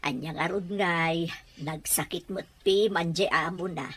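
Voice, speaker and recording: A woman's voice: on the low side, unhurried, slightly rough. A woman playing a worried, fretful older mother in an Ilocano audio drama. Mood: concerned.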